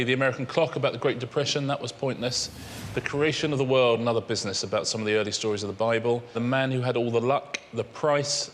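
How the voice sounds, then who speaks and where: A man co-presenting a television quiz show, explaining the answers one by one. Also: mid-range voice. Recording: reverberant studio, some background noise.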